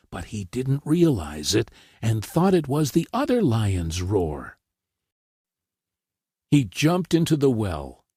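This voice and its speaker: A man's voice: lowish voice, warm tone, breathy edge, no echo, studio quality. A man narrating scripted fairy tales for children as a storyteller.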